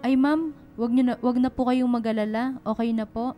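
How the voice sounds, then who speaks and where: A woman's voice: fairly high pitch, fairly even intonation, normal speed, mellow, faint music behind, natural voice. A woman playing an honest, hardworking laundrywoman in a radio drama, earnest and polite. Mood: humble, grateful. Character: honest, hardworking, humble laundrywoman and single mother. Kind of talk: Filipino radio drama acting.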